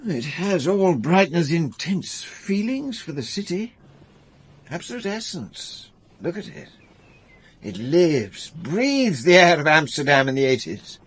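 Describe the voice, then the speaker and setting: An actor playing a sly, effusive art dealer in a radio drama, husky and theatrical, savouring his words. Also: fairly high pitch, very animated, slow, a bit bright, exaggerated voice. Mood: rapt admiration.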